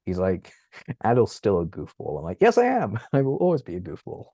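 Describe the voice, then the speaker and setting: A man, chatting as an interview guest from his bedroom at home, animated and laughing often. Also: on the low side, brisk, dry recording. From England. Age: 30s. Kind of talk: casual interview chat. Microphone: video call.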